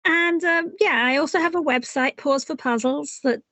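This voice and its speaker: A woman's voice: sits high, moderate pace, fairly bright tone, no echo. A middle-aged woman from England with an English accent, an author and puzzle writer answering interview questions in a chatty, rambling way. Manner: chatty, rambling with restarts and small laughs.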